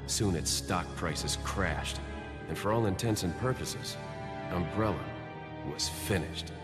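A man acts out a young American special agent in a video game. His voice is low and measured, with a cool, dry delivery. Loud music runs beneath him. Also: lightly acted. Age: young adult. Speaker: video game protagonist, narrator. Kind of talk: scripted game narration and dialogue.

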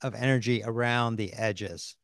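An older man co-hosting a scripted company town hall in a studio, speaking into a handheld mic with upbeat, quick affirmations. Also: medium pitch, a bit bright. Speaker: co-host. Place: studio set in front of a screen.

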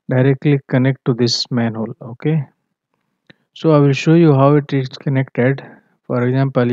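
A man tutoring on plumbing drawings, walking through a screen share in a halting way with many fillers and self-corrections. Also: medium pitch, warm tone, no room sound. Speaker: MEP engineering tutor. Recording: dull, dry, lo-fi voice-over.

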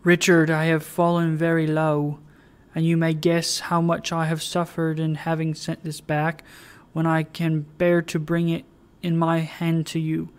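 A reader voicing a sorrowful fallen young woman, speaking in a soft, imploring way. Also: medium pitch, monotone, unhurried, smooth, natural voice.

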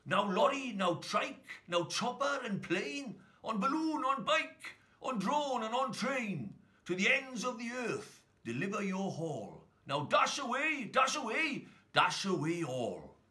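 A man's voice: high voice, very animated, takes it slowly, few pauses, bright, forceful tone, pitched above the performer's own voice, heavily acted. A man voicing a slick, cheerful hipster Santa making announcements like a salesman in a children's Christmas verse story. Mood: rallying.

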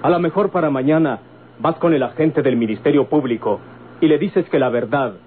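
An actor playing a stern, calculating gentleman with a Mexican accent, deliberate and insistent, in an old radio drama. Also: on the high side, close to an everyday voice. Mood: stern, pressing. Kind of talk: golden-age Mexican radio drama acting. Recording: tinny, noisy old radio recording.

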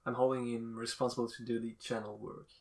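A man's voice: medium pitch, flat delivery, fast, a little mellow. A young man co-hosting a podcast, chatting casually in a small room. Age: young adult. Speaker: podcast co-host. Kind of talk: casual chat. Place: small attic room, indoors. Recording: distant from mic, noisy.

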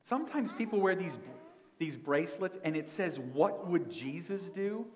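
A middle-aged man, a pastor, giving a children's sermon and asking the kids many gentle questions. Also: high-pitched, lively intonation, normal speed. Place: large church sanctuary. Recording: long church reverb.

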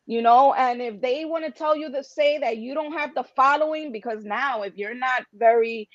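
Emphatic high-voiced woman on a video call. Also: moderate pace, dry recording. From Dominican-American. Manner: emphatic, passionate, rhetorical questions. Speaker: interview guest, writers' association founder. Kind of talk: animated conversational interview answers. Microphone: computer video-call microphone. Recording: dull, lo-fi video-call audio.

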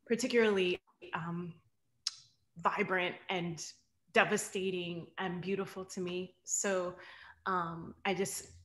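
American woman poet on a video call, echoey room, pretty flat pitch, halting and earnest. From Delaware, United States. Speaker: guest poet. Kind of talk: informal introduction to a reading. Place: bright room at home, indoors. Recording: roomy, echoing video-call audio.

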